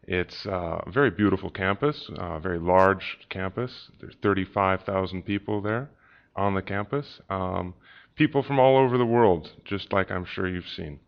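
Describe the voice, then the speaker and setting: A man's voice: low-pitched, normal speed, gravelly, dull audio. A man from Seattle, talking in a friendly way with many fillers. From Seattle, United States. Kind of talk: unscripted answers for a learner video.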